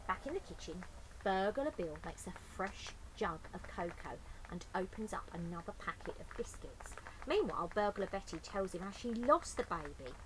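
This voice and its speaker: A woman's voice: medium pitch, sing-song, moderate pace, background noise. A woman reading a picture book aloud expressively, adding chatty asides to young listeners. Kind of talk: expressive children's story reading.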